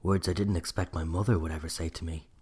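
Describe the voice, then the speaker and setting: Irish man, very low pitch, scripted storytelling, first-person narrator. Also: fairly even intonation, fast talker, a little mellow, husky.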